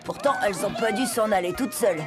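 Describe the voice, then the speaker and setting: A woman playing a lively, confident, scheming young boy in a French animated cartoon dub. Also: medium pitch, fast, close to an everyday voice. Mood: matter-of-fact. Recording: music behind the voice.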